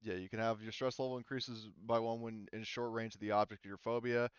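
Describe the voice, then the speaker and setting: A man acting as game master, casually explaining tabletop game rules in a halting, informal way over a slightly lo-fi voice chat. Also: fairly low pitch, steady tone, clean tone, dry recording.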